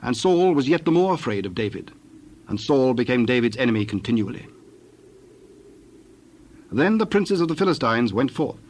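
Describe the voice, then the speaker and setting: Medium-pitched male Bible narrator. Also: sing-song, darkish tone. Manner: measured, formal storytelling.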